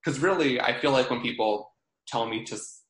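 A man's voice: medium pitch, normal speed, fairly bright tone. A man in his twenties co-hosting a podcast, talking casually with a stutter, repeating sounds and getting stuck on blocks. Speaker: podcast co-host. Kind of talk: casual chat. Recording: roomy echo.

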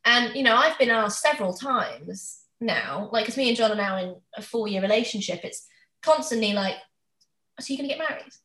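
A woman's voice: on the high side, expressive, fairly quick, pushed and bright. A woman, talking candidly and chattily in a casual conversation. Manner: chatty, candid, acts out others' questions. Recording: roomy, echoey sound.

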